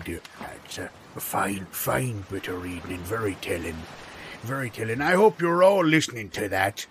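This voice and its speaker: Bright-voiced, swooping pirate cruise captain played by a man. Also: fairly low pitch. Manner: theatrical, blustering. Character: hearty, smarmy pirate cruise-ship captain welcoming passengers. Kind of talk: improvised audio comedy. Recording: faint background noise.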